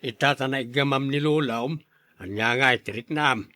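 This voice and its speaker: An actor playing a concerned, questioning father in a radio drama, speaking in an expressive, coaxing way. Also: medium pitch, fairly quick, no room sound, natural voice.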